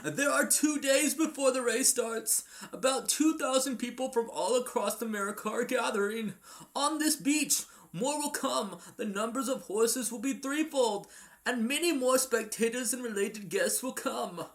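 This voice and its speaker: A man's voice: very high voice, unhurried, a bit bright, heavily acted. Man acting as anxious, formal assistant. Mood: worried, urgent. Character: anxious, formal aide to a race promoter. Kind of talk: amateur fan dub acting.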